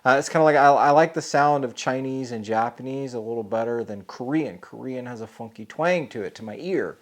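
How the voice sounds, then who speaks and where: A middle-aged man talks through his thoughts on a solo podcast. He rambles in an easy, mid-pitched voice, full of "uh" and "you know" and frequent restarts. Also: brisk. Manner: thinking aloud, many fillers and restarts. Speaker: podcast host; programming teacher.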